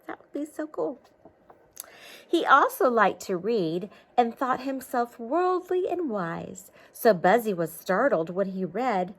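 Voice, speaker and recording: A woman reading a picture book aloud to children, expressively, with coaxing questions and asides to her listeners. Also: mid-range voice.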